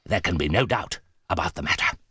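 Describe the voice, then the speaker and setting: An actor playing an excitable older professor uncle in an audiobook drama, speaking in an emphatic, pompous, declamatory way. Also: male voice, low-pitched, a little fast, husky, no room sound, studio quality, heavily acted. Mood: lecturing, assured.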